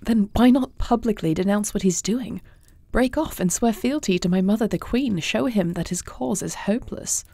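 Woman as a creaky, mellow, wary warrior princess. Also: mid-range voice, dry recording. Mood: guarded. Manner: formal, measured, guarded. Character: wary, composed warrior princess, heir to throne. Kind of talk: fantasy audio roleplay acting.

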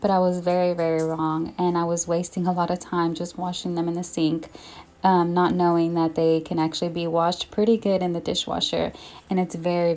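A woman's voice: on the low side, darkish tone. A young woman talking casually to her viewers while cleaning her home kitchen, reassuring and a little apologetic about the mess. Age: young adult. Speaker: home vlogger. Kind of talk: casual cleaning vlog narration.

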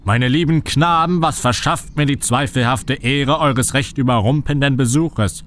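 A man's voice: mid-range voice, measured pace, fairly bright tone, a little hiss or hum, lightly acted. An actor playing a shady, theatrical older male reporter in a comic radio play, speaking in an ominous, melodramatic way.

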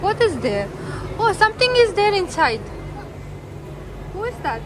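A young woman vlogging on her phone through a busy mall and aquarium, talking excitedly to viewers and her small son. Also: extremely high pitch, unhurried. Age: young adult. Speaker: family vlogger. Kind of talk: casual vlog narration. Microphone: phone at arm's length. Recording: loud crowd and street noise.

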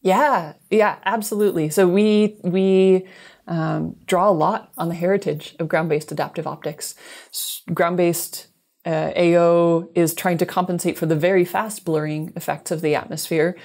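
A woman's voice: fairly low pitch, steady tone, normal speed, a little mellow. A woman in her thirties explaining technical science conversationally as an interview guest on a video call. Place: indoor office, video call.

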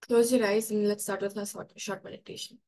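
A woman's voice: mid-range voice, flat delivery, a little fast, mellow. A young woman with an Indian accent giving guided yoga and meditation instructions. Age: young, student. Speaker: student leading yoga and meditation. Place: bedroom at home. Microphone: video call, device a little away.

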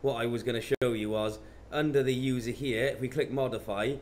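A man, talking matter-of-factly through steps in an informal software tutorial. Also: medium pitch, steady tone, fairly quick. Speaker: presenter of product tutorials. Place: indoor office. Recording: clear background noise.

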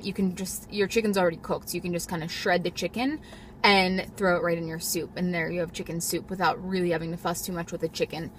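Young woman, casual vlog, rambling and chatty, phone mic in a car, mid pitch. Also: a little hiss or hum. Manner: chatty, rambling, frequent ums. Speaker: vlogger. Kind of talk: casual grocery haul vlog. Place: parked car. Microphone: phone camera on the dashboard.

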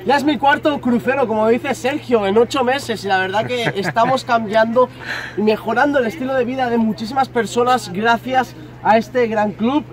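Teen male, very high voice, upbeat casual testimonial in the open air. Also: moderate pace, crowd noise. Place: outdoors at open hilltop ruins.

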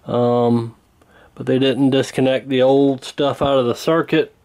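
A man's voice: mid-range voice, flat delivery, a little slow, a little mellow. A man casually narrating a hands-on repair as he works, recorded on a camera at an indoor workbench. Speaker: host, radio repairer. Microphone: handheld camera near the bench. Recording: noticeable background noise from camera mic.

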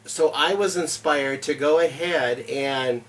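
A man's voice: on the high side, takes it slowly. Middle-aged man talking from a distance. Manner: plain, earnest, step-by-step explainer with "uh" fillers. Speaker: homesteading YouTube channel host. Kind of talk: casual interview answers. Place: home library with bookshelves and fireplace.

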